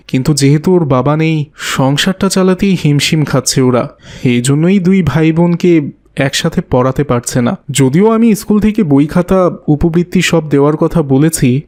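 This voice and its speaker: A man's voice: on the high side, a little mellow, close to an everyday voice. A man voicing a kindly, concerned schoolteacher in a cartoon, explaining things earnestly and politely. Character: concerned, helpful schoolteacher, a kindly family friend. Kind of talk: scripted cartoon dialogue.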